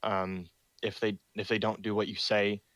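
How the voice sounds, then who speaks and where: Young man, low flat voice, dry close mic, reads server rules over voice chat, lots of ums. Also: moderate pace, no room sound. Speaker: meeting host for a roleplay community. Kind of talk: reading rules aloud in a voice chat meeting.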